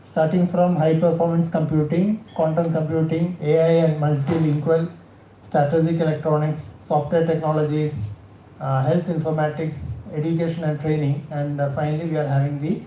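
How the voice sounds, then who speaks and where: A man with a South Indian accent giving a technical training lecture, speaking haltingly and repeating himself as he checks with his listeners. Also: on the high side, dark tone. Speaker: cybersecurity scientist giving a training session. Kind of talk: spoken lecture to a class. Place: indoor college session room. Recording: echoey room, faint background noise.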